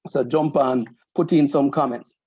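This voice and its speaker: A man who is president of a community association, hosting a casual talk show and explaining things slowly with frequent restarts. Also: mid-range voice, fairly even intonation, dark tone, breathy edge, no echo, dull audio. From Jamaica.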